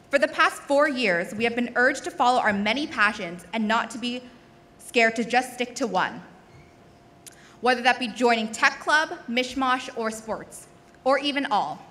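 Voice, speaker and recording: A young woman, in her late teens, reads a formal scripted speech into a podium microphone. Her voice is fairly high and bright, carrying through a reverberant auditorium. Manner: formal, reading aloud. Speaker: graduating student introducing a speaker. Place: large auditorium stage. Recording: echoey auditorium.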